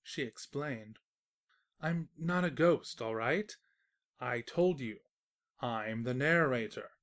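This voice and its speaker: A woman narrator reading a scripted comedic story in an expressive, wry storyteller manner. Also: extremely low pitch, slow pace.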